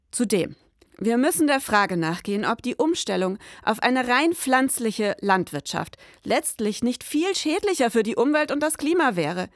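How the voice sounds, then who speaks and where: An actress playing a precise, sober detective in a scripted noir audio drama, speaking in formal, matter-of-fact wording. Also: female voice, a little high, dry recording, clean studio sound.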